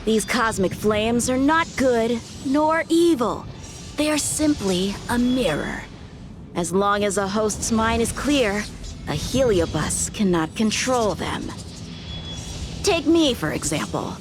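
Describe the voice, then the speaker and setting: A woman playing a proud, overconfident teenage boy swordsman in a video game, speaking in a measured, self-assured way. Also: a little high, animated, over music. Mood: confident. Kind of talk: video game cutscene acting.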